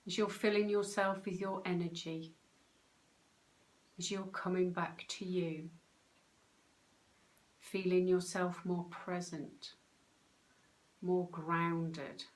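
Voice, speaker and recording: Slow, low-pitched woman guiding meditation. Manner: calm, soothing, unhurried instruction with pauses. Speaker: meditation guide, soul coach. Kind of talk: guided meditation.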